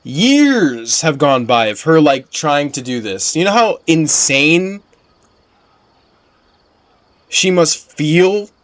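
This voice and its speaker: A man's voice: fairly high pitch, big pitch swings, slow, long pauses. A man giving casual gameplay commentary as a streamer, slangy and emphatic, often repeating himself for weight. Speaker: game streamer.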